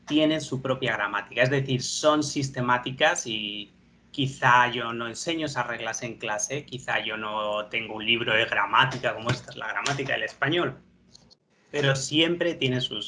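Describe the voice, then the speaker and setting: A man's voice: medium pitch, bright, forceful tone, a little hiss or hum. A man who teaches at a university, explaining in a lecturing way. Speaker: university professor. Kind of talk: explaining linguistics.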